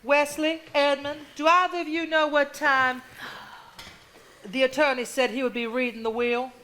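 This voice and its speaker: A woman's voice: sits high, flat delivery, normal speed, sharp tone, slight background noise, poor audio. A woman playing a prim, reproving Southern sister in a stage drama, genteel and needling. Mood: poised. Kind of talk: live stage drama acting.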